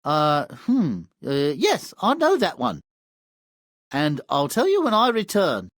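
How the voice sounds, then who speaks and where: A man's voice: a little high, huge pitch swings, slow pace, no echo, studio quality, full character voice. A man voicing a carefree, overconfident young lad in a fairy tale game, casual and breezy. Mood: cocky. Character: cocky, carefree young lad, a luck child.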